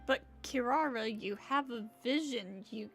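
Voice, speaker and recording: A woman's voice: fairly high pitch, unhurried, soft background music. A woman livestreamer giving casual commentary as she plays a game.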